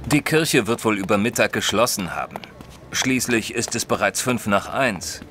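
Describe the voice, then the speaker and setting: An actor playing a modest, sensible male companion who narrates a detective audio drama in a measured, literate way. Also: lowish voice, bright, forceful tone. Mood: reflective. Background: music.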